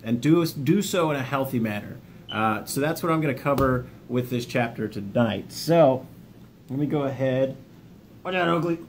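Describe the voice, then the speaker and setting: A man with a mid-pitched voice hosts an informal video, speaking plainly and directly to his viewers. His manner is folksy, with jokey asides, and there is a faint rumbly noise behind him. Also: lively intonation, moderate pace. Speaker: video host, army veteran sharing self-help lessons. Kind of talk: informal video talk, partly reading a book overview.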